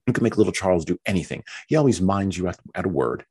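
A man's voice: low-pitched, animated, fast talker, dark tone, slightly rough, voice pushed up. A man putting on a fretful, complaining young mother. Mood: eager. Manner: complaining, whiny and petulant. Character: fretful self-pitying young mother, woman.

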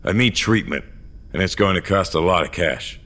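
An actor playing a weary, guilt-ridden, very old augmented great-grandfather in an audio drama, with a hesitant, low-key manner. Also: normal speed, a bit bright. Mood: defensive, pleading. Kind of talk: audio fiction acting with first-person narration.

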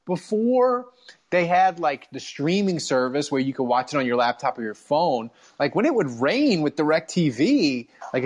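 A man hosting a sports podcast, telling stories as an excitable, casual fan who swears freely. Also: a little high. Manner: profane, excitable storytelling.

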